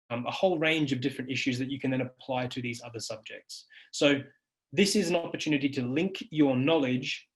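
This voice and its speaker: A man in his thirties, a university lecturer presenting a webinar over slides, earnest and halting with frequent ums and restarts, on a webcam. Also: mid-range voice. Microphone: webcam video call.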